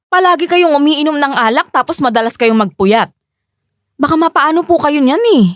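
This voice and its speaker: Exasperated dutiful daughter, Filipino accent, high voice, dry sound, respectful pleading, radio drama. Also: female voice, expressive, moderate pace, no room sound. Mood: concerned, pleading. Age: young adult. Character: weary, dutiful breadwinner daughter pleading with her mother.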